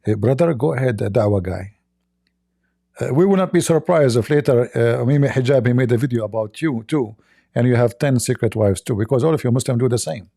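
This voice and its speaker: A man's voice: mid-range voice, a little fast, creaky, mellow. A man whose first language is not English, hosting a livestream and mocking video clips in broken English, laughing often. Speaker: livestream host.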